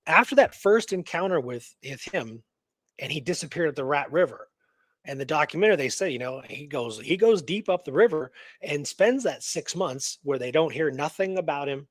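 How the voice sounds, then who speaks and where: A man co-hosting a show, casually discussing a historical mystery in a halting, rambling way that repeats words. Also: a little high, no echo. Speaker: co-host of a radio history show.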